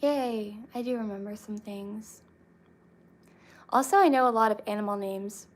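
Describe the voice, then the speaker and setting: A young woman chatting casually with her viewers, relaxed and reading comments aloud. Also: medium pitch, moderate pace. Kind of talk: casual chat with live chat.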